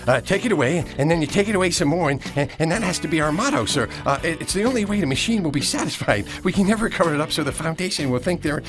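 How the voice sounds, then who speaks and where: A man's voice: on the high side, lively intonation, fast talker, few pauses, over music, close to an everyday voice. A man playing a jittery, obsequious, slyly ruthless numbers man who stammers, in a satirical radio play. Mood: gleeful, nervous. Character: nervous, sweaty, obsequious male number cruncher, slyly ruthless.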